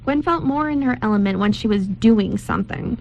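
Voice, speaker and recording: A woman's voice: medium pitch, a little fast, creaky, a little mellow, lo-fi sound, a little hiss or hum. A woman reading scripted story narration aloud as narrator, occasionally stumbling over the words.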